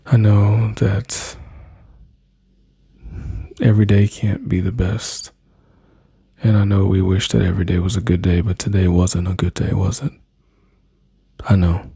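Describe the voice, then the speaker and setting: A man speaking softly and slowly in an intimate, soothing boyfriend-style ASMR roleplay, his low breathy voice often dropping into whispers close to the mic. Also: little pitch movement, dry recording. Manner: soft, slow, soothing, intimate address to listener.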